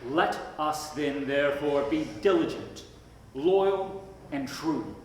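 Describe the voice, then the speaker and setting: An actor playing an earnest, dignified 1920s small-town lawyer giving a solemn, measured dedication speech on stage. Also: male voice, fairly high pitch, a little hiss or hum. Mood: earnest exhortation. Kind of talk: formal ceremonial oratory on stage. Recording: very echoey hall with long reverb.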